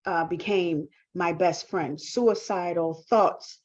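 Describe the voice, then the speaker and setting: A woman giving reflective interview answers as a guest, speaking earnestly and haltingly with repeated words. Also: on the low side, a little mellow, highs cut off. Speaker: talk show guest, performing artist.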